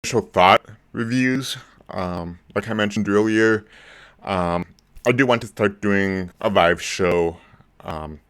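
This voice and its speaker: A man in his forties hosting a casual live stream about movies, talking in a rambling way with lots of ums and restarts, his voice a bit lower than usual. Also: slow, a bit bright, clear, resonant tone. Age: 40s. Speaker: movie channel host.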